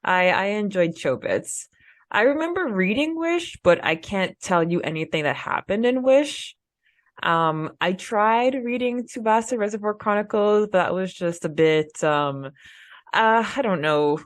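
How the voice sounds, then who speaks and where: A woman's voice: mid-range voice, expressive, unhurried, dry recording. Casual woman podcast co-host, dry close sound. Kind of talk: casual fan podcast chat.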